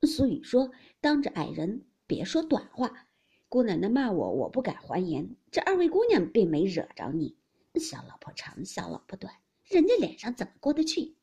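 A woman's voice: on the high side, very animated, normal speed, gravelly, warm tone, slightly rough, full character voice. A woman voicing an embarrassed sister-in-law who grumbles defensively.

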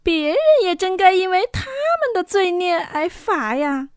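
A proud young girl from a storybook tale, speaks in a piercingly high, dry-recorded voice. She is bitter and plaintive, dragging her words out slowly as she pities herself. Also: very animated, dry recording, full character voice. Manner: plaintive, drawn-out, self-pitying. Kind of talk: dramatized fairy tale acting.

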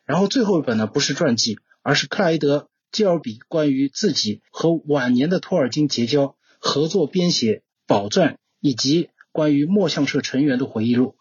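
Male podcast guest, book recommendations; slightly high, husky voice, slightly muffled audio. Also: animated, moderate pace, hardly any pauses. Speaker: guest translator recommending books. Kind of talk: prepared talk, podcast.

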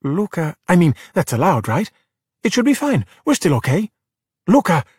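A man's voice: fairly high pitch, normal speed, a little mellow, husky, dry recording, studio quality. A man voicing a feisty, exuberant young girl, emphatic and outspoken, in a storybook. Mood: exasperated. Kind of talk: children's storybook character voice.

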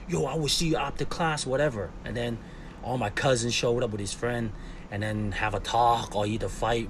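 Laughing Hong Kong-born man in his forties. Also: medium pitch. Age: 40s. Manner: animated, laughs often, acts out quotes. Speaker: guest, skateboarder. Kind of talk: casual interview storytelling. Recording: clear background noise.

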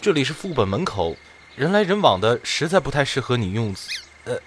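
A man playing a gentle, earnest young priest adventurer in a fantasy audio drama, polite and a little hesitant. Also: mid-range voice, sing-song, a little fast, a little hiss or hum, natural voice. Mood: awkward.

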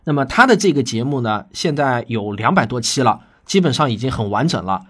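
A man's voice: mid-range voice, big pitch swings, fairly quick. A man hosting a podcast, explaining things to listeners in a conversational, emphatic monologue. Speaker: podcast host and science fiction writer.

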